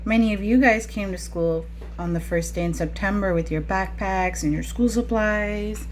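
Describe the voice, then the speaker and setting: A woman's voice: medium pitch, normal speed, slight background noise, poor audio. A woman preschool teacher talking to young children during a picture-book read-aloud, with frequent questions in a teacherly way.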